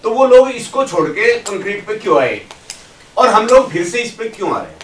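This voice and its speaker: A man's voice: high voice, very animated, a little fast, a bit bright. A man from eastern India speaking spontaneously as a student in a group discussion. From Odisha, India. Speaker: workshop student. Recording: distant mic, clear background noise, clicks.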